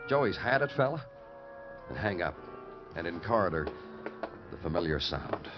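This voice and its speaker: Casting: hardened American homicide cop, low voice, brooding poetic narration, vintage radio drama sound with music behind. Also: male voice, sing-song, normal speed. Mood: grim, weary. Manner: terse, clipped fragments, poetic and world-weary. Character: hardened, brooding male New York homicide detective, narrating his cases. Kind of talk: 1950s radio noir acting and poetic narration. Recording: muffled old radio recording with music.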